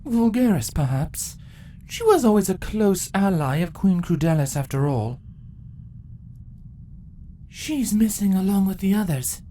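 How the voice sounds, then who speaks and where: A regal fantasy creature queen, acted by a woman, speaks with formal, theatrical poise in a dramatic story. Her voice is slightly low for a female and swings widely in pitch. Faint ambience sits behind it. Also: expressive, measured pace, long pauses, mellow, a little hiss or hum, close to an everyday voice. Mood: wary. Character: regal female changeling queens and pony in debate. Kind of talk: dramatic story character acting.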